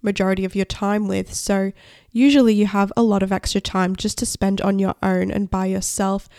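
Woman, twenties, nasal from a cold, gravelly edge, upbeat podcast chat. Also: mid-range voice, little pitch movement, normal speed, a little mellow, no room sound, clean studio sound. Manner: chatty, upbeat, talks with many likes. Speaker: podcast host. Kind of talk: casual solo podcast chat.

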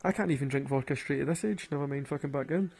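A man's voice: medium pitch, quick pace, darkish tone, slightly rough, soft background music. A man with a Glaswegian Scottish accent, rambling and swearing through casual stories and laughing at his own jokes. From Glasgow, Scotland. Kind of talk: casual storytelling monologue.

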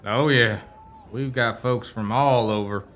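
A man's voice: medium pitch, steady tone, a little slow, a bit bright, natural voice. A man playing a folksy, teasing country camp dweller in a radio play, full of homespun idioms. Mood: chummy.

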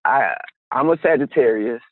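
A man's voice: medium pitch, lively intonation, moderate pace, no echo. A man from Dallas, a musician guest in a casual interview, talking in a laid-back, easygoing way. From Dallas, Texas. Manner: laid-back, easygoing, lots of "you know". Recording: tinny phone line.

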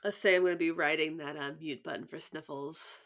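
A woman's voice: low voice, brisk. Stuffy-nosed woman podcast host. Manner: rambling, animated storytelling with many restarts. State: stuffed up with sniffles. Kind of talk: casual podcast chat.

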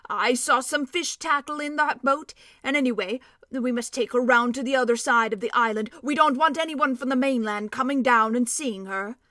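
A woman voicing a cheerful, stocky male dwarf with a hearty, emphatic, drawn-out way of talking. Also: a little high, sharp tone, no room sound, clean studio sound.

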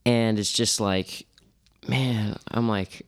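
A man's voice: lowish voice, fairly even intonation, slow pace. A man in his late teens with a Midwestern American accent, chatting loosely as a podcast co-host and letting thoughts trail off. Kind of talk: casual conversation.

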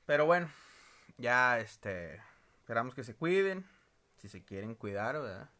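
A man's voice: mid-range voice, big pitch swings, a little slow, bright, forceful tone. A man, co-hosting a casual podcast chat in an easygoing way. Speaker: podcast co-host.

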